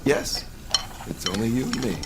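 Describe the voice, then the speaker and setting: An actor playing a smooth-talking, soft-spoken male colleague in an audio drama, forward with women and halting when flustered. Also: medium pitch, animated, heavy background noise, lightly acted. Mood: suave, seductive. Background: music.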